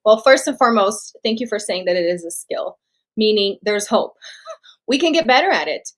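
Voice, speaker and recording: A woman's voice: medium pitch, animated, normal speed. A woman from Brazil whose first language is Portuguese, a guest speaker explaining confidently and laughing easily in an interview. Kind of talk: interview answers.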